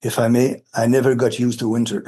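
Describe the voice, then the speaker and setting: Male, late 60s, Quebec French accent, native French speaker. Mellow, relaxed tone, mid pitch. Halting, earnest interview delivery. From Quebec, Canada, brought up partly in Cameroon and Senegal. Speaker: interviewee, retired philosophy of education academic. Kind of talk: reflective interview talk.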